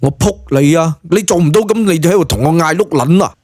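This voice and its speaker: A man's voice: a little high, fast, a little mellow, no echo, studio quality, voice pushed up, lightly acted. A man voicing a brash, foul-mouthed young male hero of an audio drama, blunt and slangy, with a Hong Kong Cantonese accent. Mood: angry, cursing.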